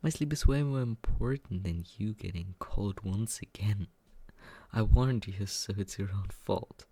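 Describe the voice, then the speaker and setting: Someone acting a smug mean young guy roommate for asmr, husky n gravelly, slow taunting drawl, slips into whispers. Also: deep voice, warm tone, dry recording. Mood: disdainful. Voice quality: breathy, husky, often slipping into whisper. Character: smug, mocking, sadistic young male roommate, reluctant caretaker. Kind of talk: ASMR roleplay, slow teasing monologue.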